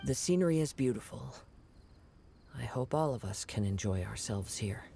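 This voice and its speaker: A man playing a calm, courteous young wanderer in a video game, speaking in a measured, watchful way. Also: medium pitch, gravelly, over music. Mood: composed, considerate. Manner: measured, polite, composed.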